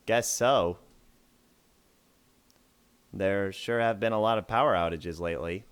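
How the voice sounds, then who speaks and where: A man's voice: on the low side, a little slow, smooth, lightly acted. A man acting in an audio drama as a skeptical, sarcastic male mole worker who talks in a teasing, dismissive way. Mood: casual.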